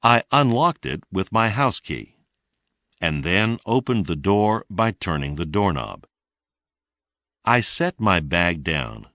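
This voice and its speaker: A man narrates an English lesson in a very low voice. He reads slowly and deliberately, enunciating each sentence for learners. His intonation moves up and down in a lively way. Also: no room sound. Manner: deliberate, clearly enunciated teaching delivery. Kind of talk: scripted read-along narration.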